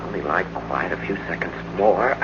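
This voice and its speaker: A man acting a brave young hero in an old-time radio drama, with an earnest, halting urgency. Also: deep voice, close to an everyday voice. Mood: tense, determined. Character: brave, tense young hero facing a monster. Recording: muffled, noisy old radio broadcast recording.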